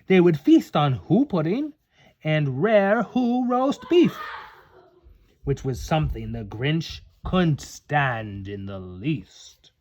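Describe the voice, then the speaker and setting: A man reading a children's story aloud as a parent would, with dramatic, drawn-out emphasis on key words. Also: fairly high pitch, very animated, a little mellow, slight background noise. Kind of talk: animated storybook read-aloud with casual asides. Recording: dull, lo-fi home recording.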